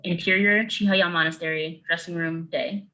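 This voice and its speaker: A woman's voice: fairly low pitch, steady tone, normal speed, sharp tone, rough recording. A woman narrator reading screenplay stage directions in clipped, matter-of-fact phrases.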